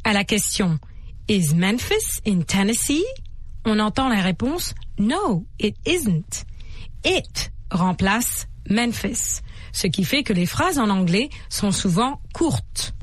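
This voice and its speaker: Female radio language teacher, husky voice with lively intonation, didactic and deliberate, faint music behind. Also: mid-range voice, no room sound. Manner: didactic, deliberate enunciation of examples. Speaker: language lesson presenter. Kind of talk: scripted bilingual English lesson for radio, with repeat-after-me drills. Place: radio studio.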